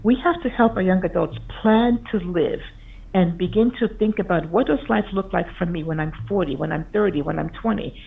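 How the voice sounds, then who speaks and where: A woman's voice: fairly low pitch, fairly quick, creaky. Woman lecturing over a tinny call line. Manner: earnest, explanatory, with occasional restarts. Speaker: conference speaker, physician. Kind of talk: conference talk over slides. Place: remote video call presentation. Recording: tinny phone-like video call audio.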